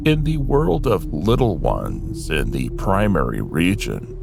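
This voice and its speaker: A man narrating a scripted fantasy tale in the first person as an otherworldly being, explaining it deliberately and directly to the listener. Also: low-pitched, gravelly. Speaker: storyteller. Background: music.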